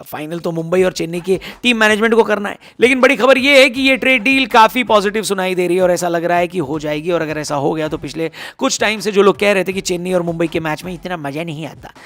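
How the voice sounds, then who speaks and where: A hoarse man giving casual cricket news commentary, chatty and teasing as he talks straight to his viewers. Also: high voice, a bit bright.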